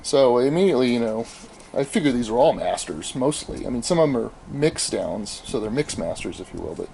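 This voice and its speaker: A middle-aged American man gives a casual, unscripted show-and-tell, thinking aloud in a halting, explanatory way. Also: medium pitch, sing-song, warm tone. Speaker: amateur audio archivist showing his tapes. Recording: clearly noisy home video, room ambience.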